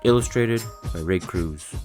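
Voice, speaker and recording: A man's voice: deep voice, unhurried, music in the background. A man narrating a children's picture book aloud, telling it in the first person with dramatic, aggrieved emphasis.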